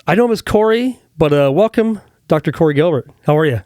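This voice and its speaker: A man's voice: fairly high pitch, expressive, quick pace, darkish tone, no echo. A man hosting a podcast interview in a studio, chatty and friendly, with frequent fillers. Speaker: podcast host. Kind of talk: casual interview chat. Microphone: studio mic.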